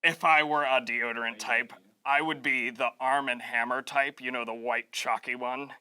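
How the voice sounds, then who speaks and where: A man's voice: medium pitch, fairly even intonation, normal speed, sharp tone, clean tone, no echo, rough recording. A man playing an overeager, intense dating contestant in an improv game show scene. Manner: clipped, bold, overly intense.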